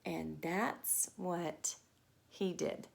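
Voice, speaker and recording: A woman storytime teacher reading a picture book to children, expressive and deliberate, with chatty asides and questions. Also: fairly low pitch, vocal fry.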